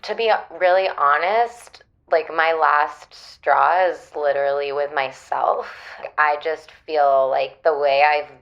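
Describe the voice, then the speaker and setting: A woman playing an earnest, self-loathing young caller to a comedy radio show, halting and full of fillers, on a dull line. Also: low voice, creaky, fairly bright tone, exaggerated voice. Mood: earnest, despairing. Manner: hesitant, filler-heavy, halting sincerity. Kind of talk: comedic radio-sitcom acting.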